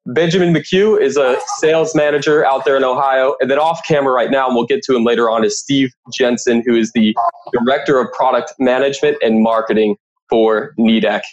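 A man's voice: mid-range voice, steady tone, fairly quick, muffled recording. Male podcast host, conversational interviewer. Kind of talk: interview, prepared introductions.

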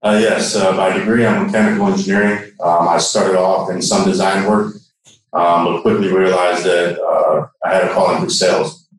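A distant, heavily echoing recording of a man with a low voice. He speaks conversationally as a sales manager in an interview. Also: little pitch movement, moderate pace. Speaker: regional sales manager, podcast guest. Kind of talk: brief conversational self-introduction. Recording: very echoey, distant microphone.

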